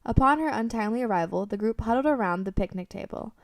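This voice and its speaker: A woman's voice: on the high side, lively intonation, fast, no echo, recorded from a distance. A woman reading scripted story narration for a radio drama.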